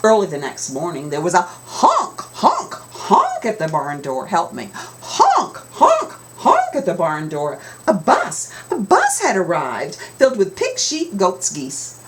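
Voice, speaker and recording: A middle-aged woman reading a picture book aloud to children theatrically, with drawn-out emphasis, in a small home room. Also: lowish voice, huge pitch swings. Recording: clear background room noise.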